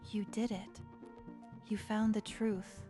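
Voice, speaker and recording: A woman playing a gentle, grateful young spirit in a manga dub. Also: medium pitch, little pitch movement, slow, lightly acted. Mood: hopeful. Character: gentle, grateful young ghostly soul freed from captivity. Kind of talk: dramatic manga dub dialogue. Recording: music behind the voice.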